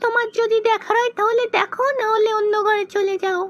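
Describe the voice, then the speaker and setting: A woman voicing a quick-tempered, demanding young wife in exaggerated comic cartoon skits. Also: very high-pitched, fairly even intonation. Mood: angry, bossy. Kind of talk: comic cartoon dubbing in short joke skits.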